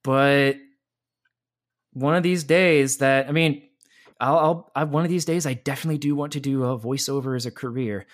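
A man's voice: medium pitch, normal speed. A man who works as a voice actor and voice teacher, talking energetically in a casual interview with many restarts. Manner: energetic and animated, with frequent restarts.

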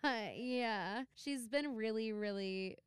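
Young woman, podcast co-host, high voice, smooth, animated, swears casually. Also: slow pace. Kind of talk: casual chat recapping a TV episode.

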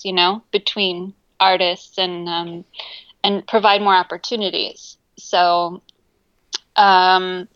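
A woman's voice: medium pitch, a bit bright, clear, resonant tone, no room sound, muffled recording. A woman musician being interviewed in a casual chat, speaking hesitantly with many ums and easy laughter. Her first language is Greek. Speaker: musician guest.

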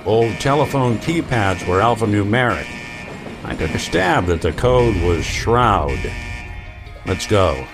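An actor playing a world-weary, sardonic detective in a post-apocalyptic radio mystery, with a dry, hard-boiled delivery. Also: male voice, fairly low pitch, expressive, takes it slowly, over music. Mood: wry. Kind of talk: old-time radio detective drama acting.

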